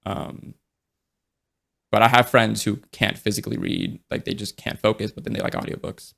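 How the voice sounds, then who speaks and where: A young man in casual podcast conversation, talking in a relaxed, rambling way with many fillers and restarts. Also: fairly low pitch, moderate pace, vocal fry.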